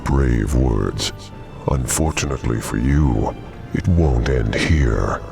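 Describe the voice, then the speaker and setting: An actor playing a menacing, mocking demon lord in a fantasy game, with a very deep, gravelly voice and an ominous, taunting delivery. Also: male voice, slow pace, music in the background, heavily acted. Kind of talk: dramatic fantasy game villain.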